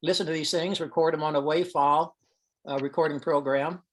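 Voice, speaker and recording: A man's voice: on the high side, steady tone, fairly quick. A man giving an informal technical presentation on a teleconference, explaining step by step and sometimes thinking aloud. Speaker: presenter at an amateur radio science teleconference. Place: online teleconference call.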